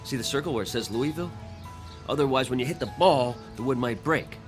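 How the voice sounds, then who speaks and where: A man's voice: medium pitch, quick pace, soft background music, natural voice. An actor playing a skeptical, stubborn, wisecracking man in a radio drama, with a casual, blunt way of talking. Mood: friendly instructing.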